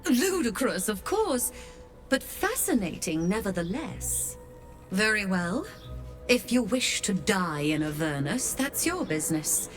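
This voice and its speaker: Game role: imperious occult merchant, shrewd and haughty, unhurried, highly varied intonation. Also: female voice, big pitch swings, faint music behind. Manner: measured, theatrical, haughty. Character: shrewd, imperious infernal merchant woman, latchkeeper of Hells. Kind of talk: video game character acting.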